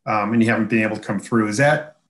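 A man, a reporter asking questions at a press conference, measured with small pauses. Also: fairly low pitch, fairly bright tone.

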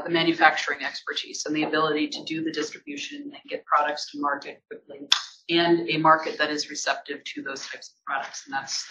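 Bright-voiced event host. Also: male voice, a little high, a little hiss or hum. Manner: conversational with repeated restarts. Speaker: host of industry association event. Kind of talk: event panel hosting. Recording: dull lo-fi event audio.

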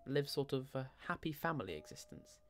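A man playing a stern, worried middle-aged father in a dramatic audio story, speaking in an uneasy, measured way. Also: medium pitch, sing-song, vocal fry. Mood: firm.

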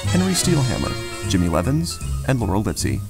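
A man's voice: lowish voice, huge pitch swings, normal speed, loud music behind the voice. A man reading a solemn, dramatic scripted narration and the closing credits.

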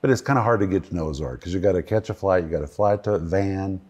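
A man in his sixties from the United States, a guest pastor telling relaxed anecdotes in a studio interview. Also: low voice, quick pace, breathy edge. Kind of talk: casual storytelling interview. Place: cozy studio set with armchairs.

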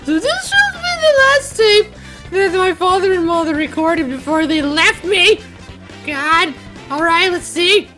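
A woman gives casual let's play commentary in a very high voice. She is jokey and exclamatory and swears freely, with some music behind her. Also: animated, measured pace. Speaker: gaming video host. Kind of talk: casual let's play commentary, partly playing along in character.

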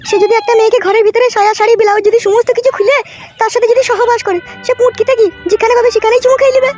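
Very high-pitched wronged cartoon wife. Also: female voice, steady tone, racing, darkish tone. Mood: indignant. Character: shrill wronged young married village woman, wary then yielding. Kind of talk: comic cartoon dialogue. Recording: dull lo-fi audio with music.

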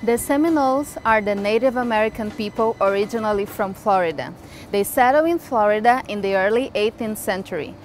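A young woman from Brazil reading a history segment as a student reporter, clear and earnest. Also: medium pitch, a little fast, faint music behind, low-quality recording. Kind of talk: scripted narration.

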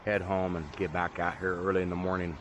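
A middle-aged man casually narrating a fishing and bottle-hunting trip outdoors, talking in a relaxed, drawling way. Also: low voice, a little fast, background noise. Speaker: fishing vlogger. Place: outdoors on a river and sandbar. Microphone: body-worn action camera.

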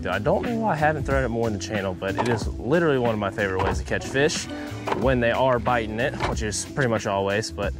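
A young man, chatting upbeat to viewers while fishing from a small boat outdoors, recorded on an action camera. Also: fairly low pitch. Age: young adult. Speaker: fishing vlogger. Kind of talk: casual vlog commentary.

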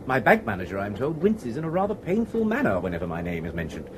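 An actor playing a verbose, unflappable gentleman impostor in a radio comedy, elaborately polite and droll, with an upper-class English accent. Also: mid-range voice, huge pitch swings, fast. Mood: breezy, confident. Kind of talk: 1980s radio comedy acting. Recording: noisy old radio drama recording.

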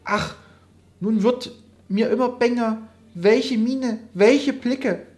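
A woman's voice: a little high, slow, darkish tone, pitched above the performer's own voice. A woman voicing a young male sorcerer's apprentice, cocky and easily panicked, in a dramatic poetry recitation. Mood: alarmed. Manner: theatrical, exclamatory.

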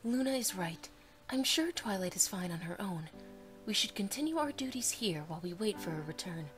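A woman plays a calm cartoon pony ruler with quiet authority. Her mid-range voice is measured and formal, with music clearly underneath. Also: mid-range voice, close to an everyday voice. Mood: calm, commanding. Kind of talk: animated fan cartoon acting.

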